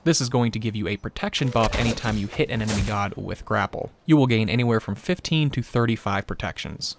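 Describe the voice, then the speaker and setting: Young male gaming host, mid-pitched, informative and matter-of-fact guide narration, noticeable game noise behind the voice.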